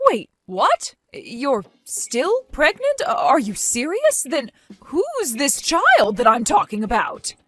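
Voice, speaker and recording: A woman voicing an indignant older woman who scolds and accuses in a lively, high voice. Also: moderate pace, no echo. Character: furious indignant older woman caring for a baby.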